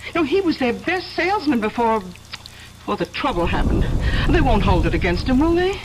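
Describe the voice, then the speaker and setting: An American actress playing an anxious, defensive wife, hesitant and nervous, in an old-time radio drama. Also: female voice, a little high, big pitch swings, normal speed, background noise. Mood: nervous, hopeful.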